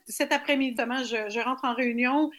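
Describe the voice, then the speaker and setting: Lively high-voiced Québécoise woman. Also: fast talker. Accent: Québécois French. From Quebec. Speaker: guest performer. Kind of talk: radio interview.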